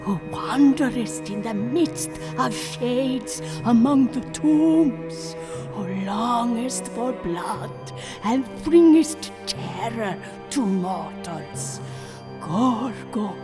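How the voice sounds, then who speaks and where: A woman playing a stooped old woman reciting a dark incantation in a radio drama, slow and ominous, as if chanting. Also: on the high side, expressive. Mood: ominous, reverent. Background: music.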